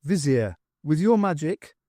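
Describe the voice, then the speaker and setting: A man voicing a brisk, determined adventurous woman, wry and commanding, in an audiobook reading. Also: sits low, expressive, dark tone, no echo, studio quality, pitched above the performer's own voice.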